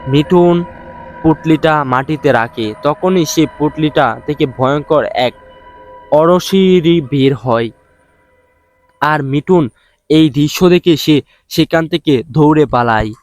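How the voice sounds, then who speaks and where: Halting male storyteller, higher-pitched voice. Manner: halting, often repeats words. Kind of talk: scripted horror story narration. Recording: music behind the voice. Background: music.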